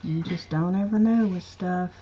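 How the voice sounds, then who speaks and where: A woman's voice: on the low side, normal speed, lo-fi sound, slight background noise. A woman co-hosting a casual livestream. She is easygoing, laughs often and reads viewer comments aloud. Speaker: co-host of a live chat show.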